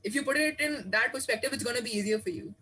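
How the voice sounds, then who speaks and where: A man's voice: extremely high pitch, fast talker, sharp tone, a little hiss or hum, poor audio. A teenage boy whose first language is Hindi, speaking English on a video call with earnest encouragement and frequent restarts. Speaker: student. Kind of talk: sharing advice on a video call. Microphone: headset on video call.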